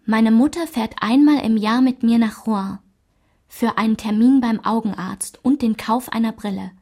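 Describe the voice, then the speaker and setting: A woman performing a narrator who recalls her small-town French childhood in a literary radio play, in a measured, enumerating delivery. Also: on the high side, dark tone. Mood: measured, reflective.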